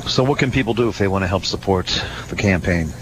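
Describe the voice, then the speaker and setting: A man's voice: deep voice, quick pace. A man hosting a live radio talk show in a casual, chatty and jokey way. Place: live on location radio broadcast. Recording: faint background noise, live location radio.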